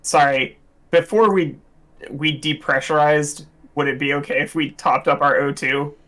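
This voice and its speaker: Eager man player, slightly high voice. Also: fairly even intonation, normal speed, a bit bright. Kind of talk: casual tabletop play.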